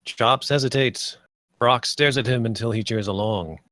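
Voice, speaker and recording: A man's voice: medium pitch, normal speed, fairly bright tone, no room sound. A man reading stage directions as the narrator at a screenplay table read.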